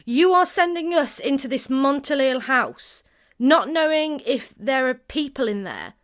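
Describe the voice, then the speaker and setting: A high, youthful female survivor acted by a woman in an audio drama. She is hesitant and halting, yet frank and principled, with plenty of questions. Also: big pitch swings. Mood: indignant.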